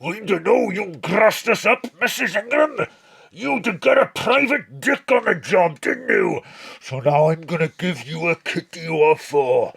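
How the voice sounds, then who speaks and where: An actor playing a menacing, slangy gangster with a rough, gravelly voice and a South Wales Valleys accent in an audio drama. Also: male voice, high voice, fairly bright tone, no echo, exaggerated voice. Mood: angry threatening. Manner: slangy, threatening, drawn-out delivery. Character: menacing male Welsh gangster with a gun.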